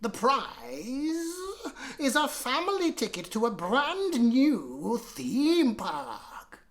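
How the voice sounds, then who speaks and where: A bossy woman schoolteacher from a children's storybook, acted out by a woman reader. She is haughty and scolding, drones emphatically, and swoops around in pitch. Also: huge pitch swings, extremely slow, exaggerated voice. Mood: bossy, announcing.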